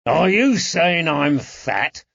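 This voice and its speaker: A man's voice: a little high, big pitch swings, slow, vocal fry, fairly bright tone, no room sound, muffled recording, pitched above the performer's own voice, heavily acted. A man voicing a burly, blunt and touchy constable in an audiobook, indignant and blustering. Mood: affronted. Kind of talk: audiobook character dialogue.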